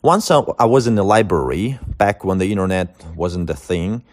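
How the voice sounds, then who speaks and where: A man hosting a language learning video, giving tips in a scripted monologue with wry jokes and deadpan punchlines. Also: low voice, moderate pace. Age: adult, older than the internet era.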